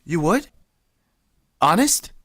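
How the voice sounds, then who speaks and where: A woman's voice: fairly low pitch, big pitch swings, slow, heavily acted. A woman playing a flustered lovestruck young man. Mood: bashful. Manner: earnest, flustered, rambling. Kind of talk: 1940s radio acting.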